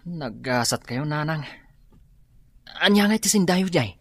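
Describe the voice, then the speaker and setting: Actress as a low, scornful, bickering daughter. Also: female voice, animated, measured pace, husky, no room sound, heavily acted. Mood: questioning. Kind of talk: Filipino radio drama acting in Ilocano.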